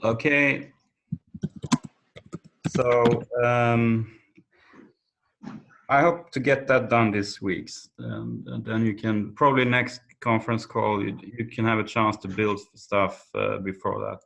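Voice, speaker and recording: A man chairing an informal conference call meeting in a halting, tentative way. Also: lowish voice, fairly even intonation, vocal fry, a bit bright.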